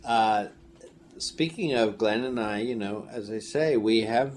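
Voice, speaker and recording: A man's voice: on the low side, unhurried, a little mellow. A man being interviewed as an audio drama creator, telling anecdotes in a casual, rambling way and acting out quotes. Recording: noticeable room background noise.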